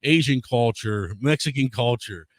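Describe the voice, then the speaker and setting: A man's voice: medium pitch, measured pace, no room sound. A man in his forties hosting a live stream, ranting crudely and swearing constantly in casual chat. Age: 40s. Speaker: show host.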